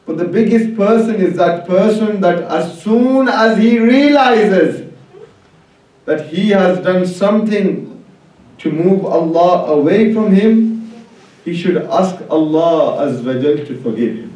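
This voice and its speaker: Guy giving a religious sermon in a really echoey hall, high voice, slow and emphatic, repeats his phrases. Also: lively intonation, a little mellow. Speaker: preacher. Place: large echoing religious hall. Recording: very echoey hall, distant mic.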